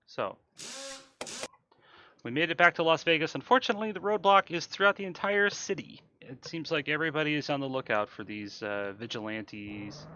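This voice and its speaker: A man giving casual, matter-of-fact speedrun commentary, explaining his moves over loud game vehicle noise. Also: fairly high pitch, moderate pace, lo-fi sound.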